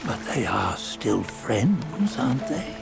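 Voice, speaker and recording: A stern old sage from a video game, passing judgment with grave disapproval. The delivery is slow and deliberate, with a gravelly creak, over clear background music. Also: male voice, mid-range voice, sing-song, heavily acted. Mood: solemn, philosophical. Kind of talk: scripted video game cutscene dialogue.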